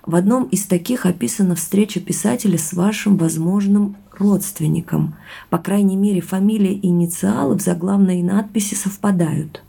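A woman's voice: medium pitch, normal speed, vocal fry, mellow, slightly rough. An actress playing a thoughtful young woman in a naturalistic audio drama, speaking in a reflective, earnest way. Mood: absorbed reading aloud. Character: thoughtful young woman sharing family mystery with boyfriend. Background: music underneath.

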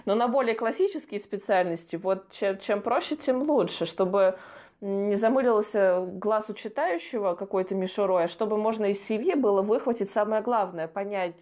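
A woman's voice: medium pitch, moderate pace, a little mellow. Chatty young woman podcast co-host. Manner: chatty, laughs often, reminiscing. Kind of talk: casual conversational podcast.

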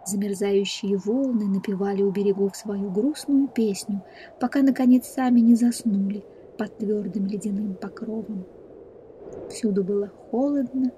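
A woman narrating a scripted fairy tale in a measured, expressive storyteller's manner. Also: mid-range voice, slight background noise, low-quality recording.

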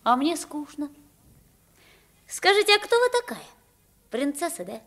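A woman's voice: high voice, unhurried, full character voice. A woman in a 1950s radio play acting a naive, plain-spoken poor boy mistaken for a young king. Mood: curious, frank. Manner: animated, frank, inquisitive.

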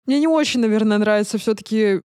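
Young woman, fairly high conversational voice. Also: fast talker, darkish tone, no echo, studio quality. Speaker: podcast co-host. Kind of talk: casual chat, discussing films.

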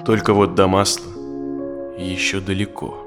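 A man's voice: deep voice, monotone, a little slow. An actor playing an anxious, self-doubting businessman in an audio drama, speaking reflectively and dramatically as a therapy client. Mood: weary, thoughtful. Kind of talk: dramatized audio play acting. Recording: music behind the voice.

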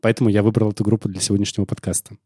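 A man co-hosting a music podcast speaks earnestly and warmly about what inspires him, with little hesitations along the way. His voice sits fairly low and the recording is dry and close. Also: flat delivery, racing, slightly rough, no room sound. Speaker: podcast co-host. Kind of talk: casual chat.

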